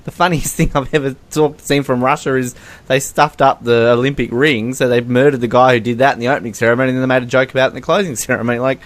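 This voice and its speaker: A man's voice: medium pitch, steady tone, quick pace. An Australian man co-hosting a podcast in casual chat, rambling along with a joking, mocking manner. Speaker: podcast co-host.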